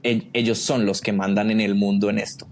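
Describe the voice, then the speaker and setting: A man's voice: lowish voice, little pitch movement, brisk, a little hiss or hum. A man with a Colombian accent talking casually and reflectively as a guest in an interview. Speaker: podcast guest, creative director.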